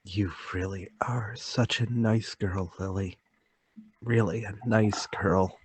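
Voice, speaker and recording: A man's voice: fairly low pitch, fairly even intonation, slow pace, slight background noise. A man playing a meek, bewildered murder suspect, speaking hesitantly and politely in a mystery radio play table read. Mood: tender.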